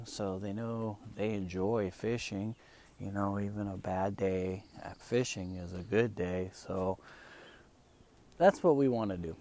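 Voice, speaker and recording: A man whose first language is Spanish, coaching English learners in a calm, relaxed way with many fillers. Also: lowish voice, slight background noise. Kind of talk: relaxed teaching monologue.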